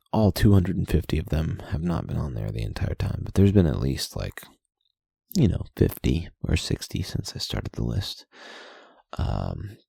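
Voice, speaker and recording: A man's voice: deep voice, vocal fry, dark tone, slightly rough, no room sound. A young man casually reading his journal aloud late at night, rambling, swearing and putting himself down. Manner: profane, self-deprecating, rambling.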